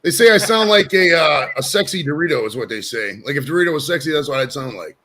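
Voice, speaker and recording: A brash man in his forties hosts a podcast from his home studio. He talks quickly and rambles, cursing freely, and his voice sits a touch higher than most men's. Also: lively intonation, sharp tone, a little hiss or hum. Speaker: podcast host. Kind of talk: casual chat.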